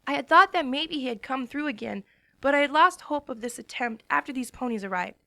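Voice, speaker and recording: A woman's voice: high-pitched, animated, fairly quick, vocal fry, sharp tone, no echo. A woman giving an emotional, earnest first-person dramatic reading of a story. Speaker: reader.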